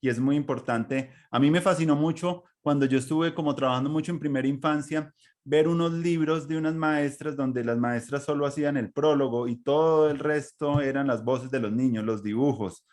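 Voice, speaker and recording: A man's voice: on the high side, steady tone, moderate pace, a little mellow. A Colombian man hosting a conversational interview over an online call, rambling as he thinks aloud. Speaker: podcast host and interviewer.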